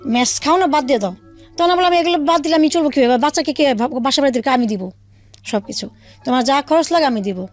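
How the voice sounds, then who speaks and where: A woman telling her own life story as a radio interview guest, recounting it in detail and acting out remembered dialogue in her own voice. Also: high-pitched. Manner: recounts in detail, quoting past conversations as dialogue.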